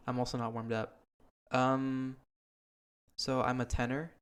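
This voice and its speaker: Young man, podcast host, casual chat, even monotone delivery, mid pitch, earnest and rambling. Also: slow pace, smooth. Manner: earnest, rambling with many ums.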